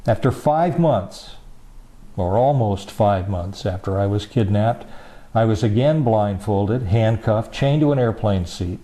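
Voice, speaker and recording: Man reading slowly in a low, dark voice. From United States. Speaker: reader of a statement. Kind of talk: solemn measured reading.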